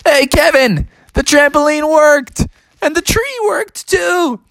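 A man voicing an excitable, upbeat young daredevil adventurer in a children's story, exclamatory and enthusiastic. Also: extremely high pitch, unhurried, no echo, voice pushed up, exaggerated voice. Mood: cheerful.

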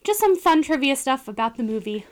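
Woman podcast cohost, a bit high pitched, chatty, says like a lot. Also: big pitch swings, fairly quick. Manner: conversational, uses "like" often, sure of herself. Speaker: podcast co-host. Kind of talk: casual movie podcast chat.

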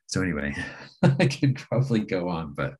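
A man's voice: deep voice, big pitch swings, normal speed, a little mellow, slightly rough. A middle-aged man, a palliative care physician, talking thoughtfully in an interview, with many fillers and restarts. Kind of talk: reflective interview talk.

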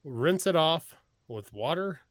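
A man's voice: fairly high pitch, lively intonation, slow pace, no room sound, studio quality. A man with a Texas accent, chatting casually as a podcast guest, often restarting his sentences. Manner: rambling, frequent restarts, chuckles. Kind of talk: casual chat.

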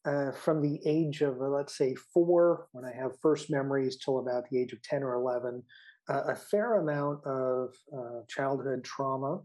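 A man's voice: mid-range voice, moderate pace, dark tone. A middle-aged man from the American Midwest with an American accent, talking casually about himself as a guest author in an interview.

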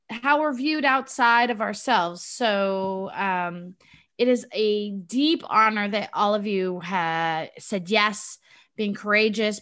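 A woman in her forties hosting a podcast in casual chat over a video call, upbeat and quick to laugh. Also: medium pitch, fairly bright tone. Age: 40s. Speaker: podcast host.

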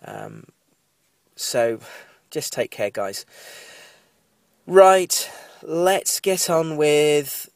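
A man's voice: fairly high pitch, huge pitch swings, extremely slow, gravelly, long pauses, no echo, studio quality. Nasal, congested man hosting a casual podcast. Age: 30s. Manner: rambling, digressive, apologetic asides. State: has a cold. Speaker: podcast host. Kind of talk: casual TV recap podcast monologue.

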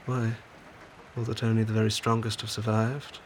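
An actor playing an earnest, insistent doctor in a naturalistic 1940s audio drama, speaking in a serious, probing way. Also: male voice, fairly low pitch, level tone, normal speed, noisy background, close to an everyday voice. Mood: concerned. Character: earnest, insistent doctor documenting prison camp conditions in 1945.

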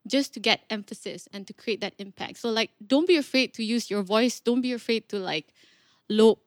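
Female podcast guest, speaking coach type: slightly high voice, close dry sound, conversational and encouraging. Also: normal speed, no room sound.

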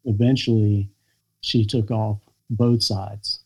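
An American man, a podcast guest, telling a personal family story in a halting, reflective way with many pauses and fillers. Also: lowish voice, steady tone, darkish tone, husky, rough recording. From United States. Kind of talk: podcast interview conversation.

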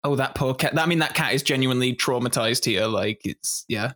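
A man chatting casually about a film, enthusiastic and animated. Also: medium pitch, brisk. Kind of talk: casual film commentary chat.

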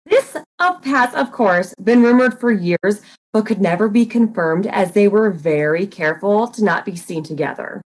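A woman's voice: mid-range voice, lively intonation, normal speed, lightly acted, rough recording. A woman playing a gossipy, self-important guest co-host on a radio show in an audio comedy, earnest, insistent and a little pompous.

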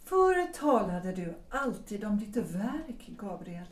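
An actress playing a composed, gently probing married woman in a period audio drama, with measured, deliberate phrasing. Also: mid-range voice, very animated, natural voice. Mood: gentle, probing. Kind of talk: stage-play audio drama acting. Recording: echoey, distant, noisy room sound.

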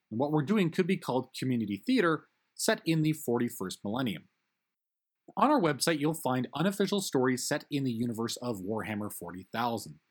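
Male producer, scripted outro and credits; upbeat, conversational, husky. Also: mid-range voice. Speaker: producer reading the closing credits.

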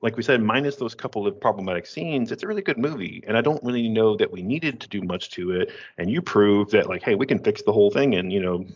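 A man's voice: fairly low pitch, animated, quick pace, few pauses. A man in his thirties from Western New York, co-hosting a podcast and telling a rambling story with frequent laughs and restarts. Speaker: podcast co-host. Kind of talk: casual chat. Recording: dull lo-fi audio.

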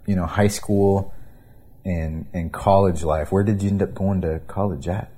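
Low husky-voiced affirming host man. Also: steady tone, moderate pace, warm tone. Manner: affirming, frequent "yeah". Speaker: podcast host. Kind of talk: casual interview chat.

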